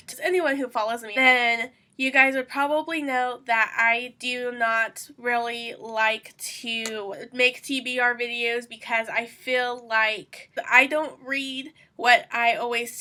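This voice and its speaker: A woman's voice: a little high, pushed and bright. A young woman with a stuffy, congested voice from a cold, chatting casually to her channel about her reading plans, rambling with lots of fillers. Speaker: booktuber host. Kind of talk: casual chat to camera.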